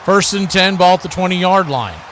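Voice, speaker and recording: A man's voice: high-pitched, lively intonation, normal speed, fairly bright tone. A man doing live radio play-by-play commentary of a football game as the announcer. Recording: clearly noisy live broadcast.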